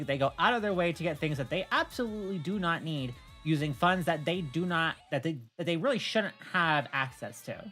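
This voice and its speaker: A woman's voice: low-pitched, fairly bright tone. A woman streamer talking casually on a livestream, making her points in a firm, emphatic, argumentative way. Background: music.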